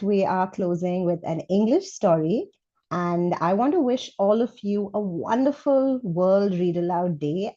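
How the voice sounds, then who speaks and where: A woman's voice: medium pitch, warm tone. A woman hosting a live online read-aloud as storyteller, addressing her listeners directly and expressively. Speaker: storyteller, session host. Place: online screen-sharing session. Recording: slightly dull, lo-fi online audio.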